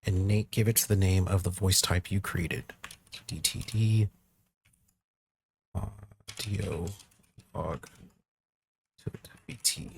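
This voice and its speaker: A man giving a casual software walkthrough, thinking aloud informally as he works through each step. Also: low voice, fairly even intonation, takes it slowly, creaky, pauses often, a little hiss or hum. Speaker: tutorial presenter.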